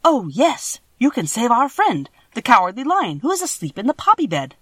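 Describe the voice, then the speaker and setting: A woman's voice: fairly high pitch, wildly swooping pitch, moderate pace, fairly bright tone, slightly rough, dry recording, studio quality, pitched above the performer's own voice, heavily acted. A woman voicing a simple, helpful male scarecrow, friendly and eager, in a storybook reading. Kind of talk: children's storybook character voice.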